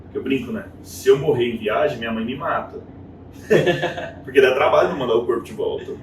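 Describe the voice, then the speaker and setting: A Brazilian man in his thirties with a Brazilian Portuguese accent, a guest chatting casually in an interview and telling his story with animated, acted-out quotes. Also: medium pitch, fairly quick. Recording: echoey room, distant mic, noisy.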